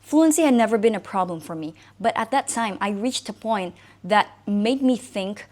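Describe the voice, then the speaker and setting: A woman in her thirties from Indonesia, whose first language is Indonesian, shares her own story to the camera as an English fluency coach. She sounds conversational and thoughtful, with plenty of casual fillers. Her voice sits at an ordinary, middle pitch. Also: lively intonation, moderate pace, a little mellow. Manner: conversational, reflective, frequent "you know" and "like". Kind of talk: personal talk to camera.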